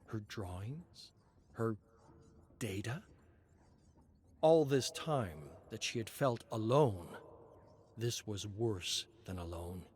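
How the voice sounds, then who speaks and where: A man narrating a story in a measured, expressive reading. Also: lowish voice, slight background noise. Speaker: lore narrator.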